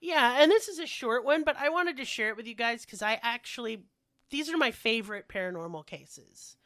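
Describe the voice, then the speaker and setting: A woman's voice: a little high, animated, fairly quick, fairly bright tone. A woman hosting a podcast while sick, telling stories in a casual, chatty, joking way with slang and swearing. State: sick, coughing.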